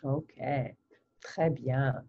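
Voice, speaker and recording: A middle-aged woman hosting an interview over a video call, asking simple questions in slow, theatrical teacher-style speech. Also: rumbling low, fairly even intonation, gravelly, warm tone, highs cut off. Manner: theatrical, exaggerated questions, gestures broadly. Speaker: host interviewing a colleague. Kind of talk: slow, simple French for learners. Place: home office, indoors, virtual background. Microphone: webcam on a video call.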